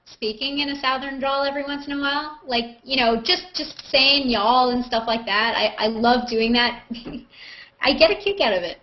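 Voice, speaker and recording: A woman with a slightly high voice hosts a loose group chat. She talks in a joking, slangy way. The sound is dull and lo-fi, like a video call. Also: fairly even intonation, moderate pace. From Canada. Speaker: host. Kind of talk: casual group chat on a live video hangout.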